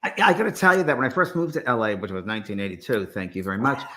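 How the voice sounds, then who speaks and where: A man's voice: mid-range voice, lively intonation, rapid-fire, bright, forceful tone. A man hosting an interview show, prompting his guest with questions and affirming replies. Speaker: show host. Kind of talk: interview chat.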